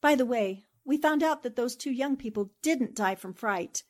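A plucky newspaperwoman played by a woman speaks in a high voice with big swoops of pitch. She is quick-witted, earnest and emphatic, in the manner of a 1940s radio drama. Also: lively intonation. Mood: brisk, curious.

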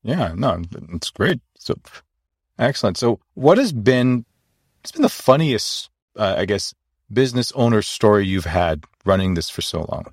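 A man's voice: lowish voice, big pitch swings, a little slow, breathy edge, no room sound, clean studio sound. A man hosting an interview, agreeing often and asking loose, rambling questions. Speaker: podcast host. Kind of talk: casual interview conversation.

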